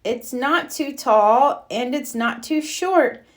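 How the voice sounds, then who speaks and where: A woman's voice: high-pitched, takes it slowly, voice pushed up. A woman voicing a young, bossy, earnest big-sister rabbit in a children's storybook, with an emphatic way of talking. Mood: eager, insistent.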